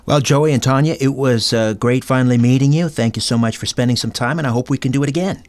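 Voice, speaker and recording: A man's voice: mid-range voice, quick pace, a little mellow. A man hosting an interview podcast, speaking as a radio presenter to guests and listeners. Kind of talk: interviewing guests and scripted show sign-off.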